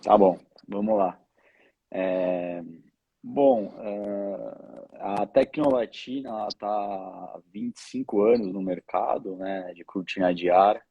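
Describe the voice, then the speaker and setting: Husky low-voiced young man, halting delivery. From born in Argentina, raised in Brazil from age two. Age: 20s. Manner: halting, seeks agreement with tag questions. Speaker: guest, family air-curtain business representative. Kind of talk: casual interview talk. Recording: dull, lo-fi online call audio.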